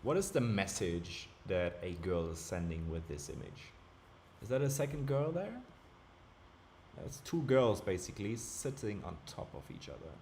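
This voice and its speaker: Low-voiced young man with German accent. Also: normal speed, slight background noise. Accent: German with British and American traces. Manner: relaxed, thinking aloud, chatty. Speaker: live streamer. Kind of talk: casual live-stream commentary.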